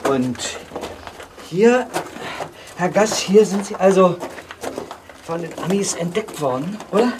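A woman playing a brisk project leader in a radio play, prompting others in a businesslike, directive way. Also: fairly low pitch, lively intonation. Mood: curious, prompting. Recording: clear background noise.

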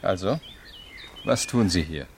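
A tough cop interrogating, really low voice, terse and insinuating, crime radio drama. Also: male voice, lively intonation, a little slow, vocal fry, slight background noise, exaggerated voice. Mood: terse, probing. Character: hard, probing male police investigator in 1920s Chicago. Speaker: police interrogator.

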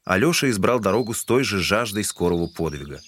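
Guy w lowish voice reading literary narration for an audio drama, narrator role. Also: expressive, fast talker, a bit bright.

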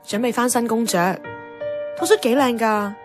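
A woman's voice: on the high side, moderate pace, music in the background, lightly acted. A woman acting a wistful, earnest young Hong Kong woman in a Cantonese radio drama. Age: young adult. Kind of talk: radio drama acting with reflective first-person narration.

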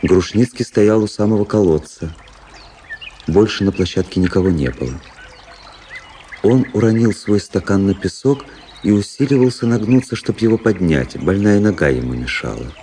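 Low, mellow gentleman narrator. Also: expressive, normal speed, a little hiss or hum. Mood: detached, reflective. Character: cool, self-analysing young gentleman officer recounting his life. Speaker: narrator and lead character. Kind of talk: dramatic literary narration in a radio play.

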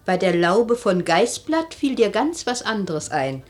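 Older maidservant, worldly and teasing, very lively pitch swings, theatrical radio drama. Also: female voice, measured pace. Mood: teasing, knowing. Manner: teasing, knowing. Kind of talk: theatrical German radio play acting.

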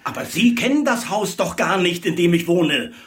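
A man's voice: high-pitched, animated, normal speed, a bit bright, far from the mic. An actor in a German radio play voicing a stubborn, eccentric, mistrustful male tenant with a formal, insistent, theatrical delivery. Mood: urgent, demanding. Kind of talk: 1980s German radio drama acting.

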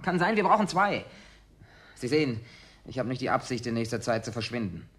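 A lively male voice with big swings in pitch, playing an excitable, boyish fibber in a radio drama. He talks colloquially and insistently. He is eager and boastful, with a slippery, evasive streak. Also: brisk. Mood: uneasy, evasive. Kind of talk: German radio drama acting, naturalistic dialogue.

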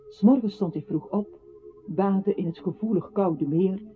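A man's voice: high voice, a little slow. A male actor in a radio play playing an earnest, lyrical narrator who recites in an articulate storytelling manner. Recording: thin phone-like radio sound, faint music.

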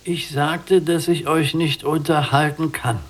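Weary, terse old prisoner. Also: male voice, fairly high pitch, fairly even intonation, unhurried. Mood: resigned, terse. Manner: terse, resigned, demanding questions. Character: weary, withdrawn blind old male prisoner. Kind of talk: literary radio play dialogue.

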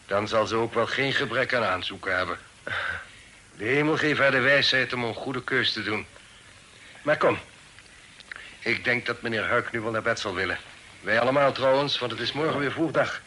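An actor playing a genial, fatherly older gentleman in a radio drama, speaking formally and courteously. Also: mid-range voice, normal speed, pauses often, natural voice. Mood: reflective. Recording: older radio recording, faint noise.

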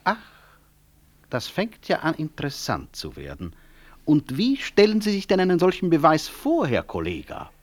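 An actor playing a proud, stubborn physician who runs a hospital, speaking with dry irony and blunt courtesy in a 1960s German radio drama. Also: male voice, on the high side, huge pitch swings, moderate pace. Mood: courteous, ironic.

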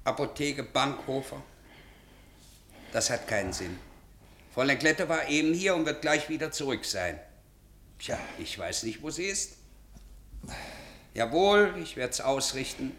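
A man's voice: mid-range voice, big pitch swings, measured pace, pauses often, a bit bright, slight background noise. An actor playing a rigid, conscientious pharmacist in a 1950s German radio play, officious and fussy in his insistence on rules. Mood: subdued, embarrassed. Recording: vintage 1950s radio recording.

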